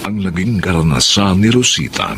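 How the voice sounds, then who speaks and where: A man's voice: sits low, very animated, moderate pace. A man narrating a radio drama anthology in a dramatic, scripted style. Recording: prominent music under narration.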